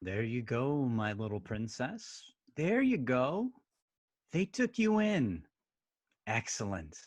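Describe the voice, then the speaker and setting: A man playing a sly, doting schemer with a raspy voice, crooning and gloating in a staged play reading. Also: mid-range voice, wildly swooping pitch, slow pace, dry recording, full character voice.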